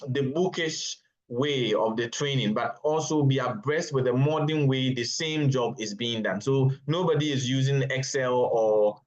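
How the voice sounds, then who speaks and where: A Ghanaian man speaks as a panelist on an online call, explaining his points at a measured pace and often pausing to restart a phrase. His voice sits at an ordinary middle pitch. The call audio sounds dull and lo-fi. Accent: Ghanaian English. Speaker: webinar panelist. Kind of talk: unscripted panel answer.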